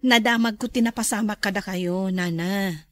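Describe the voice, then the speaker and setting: A woman's voice: mid-range voice, moderate pace, dry recording, close to an everyday voice. A woman playing a composed, forgiving schoolteacher in a radio drama, speaking in a considerate way. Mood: kindly.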